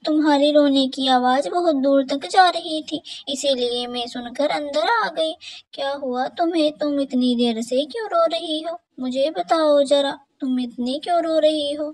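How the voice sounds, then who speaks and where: A woman voicing a kind young female sparrow who talks in a lively, concerned way. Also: sits high, unhurried, pitched above the performer's own voice.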